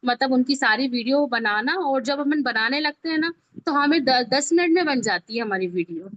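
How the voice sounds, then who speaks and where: A woman's voice: high voice, fairly bright tone, no echo. A woman with an Indian accent teaching an informal step-by-step online tutorial in a conversational way, often checking that learners follow. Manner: conversational, repeatedly checks understanding. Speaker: video editing teacher. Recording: dull, lo-fi online class audio.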